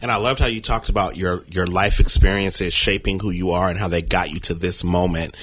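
A man's voice: low voice, fairly even intonation, brisk, a bit bright, slight background noise. A man hosting a radio talk show, welcoming his guest and asking questions in an upbeat, enthusiastic way. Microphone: studio mic.

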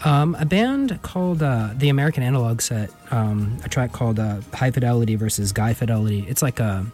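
A soft-spoken man chatting as a radio guest in a hesitant, laid-back way. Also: medium pitch, animated, fairly quick. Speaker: guest co-host. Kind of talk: casual radio chat. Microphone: studio radio microphone. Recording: music behind the voice. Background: music.

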